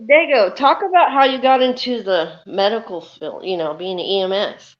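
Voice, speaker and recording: A woman's voice: medium pitch, normal speed, highs cut off. A woman chatting casually as a guest on a stream panel. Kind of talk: casual chat.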